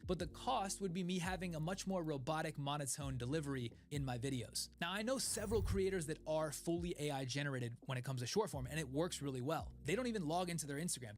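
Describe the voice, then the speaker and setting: A young man speaks quickly and brightly into a studio microphone, his voice sitting a little high. He runs through a scripted explainer with an energetic, hand-waving delivery. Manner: fast, energetic, gestures with hands. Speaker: content creator. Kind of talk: scripted talking-head explainer. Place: dim home studio. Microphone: studio mic in front.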